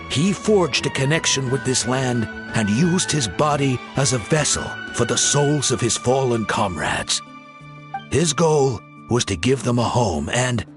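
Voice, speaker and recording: Theatrical, slow-paced storyteller with sweeping pitch. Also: male voice. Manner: dramatic, measured, with deliberate pauses. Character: genial male storyteller, theatrical, fond of children. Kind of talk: theatrical scripted storytelling in a video game.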